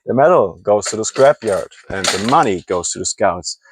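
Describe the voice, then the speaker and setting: A man's voice: on the low side, expressive, normal speed, background noise. A man from Germany who speaks English as a second language with a German accent, rambling through a casual vlog and often stopping to search for words and correct himself. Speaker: scrapping hobbyist.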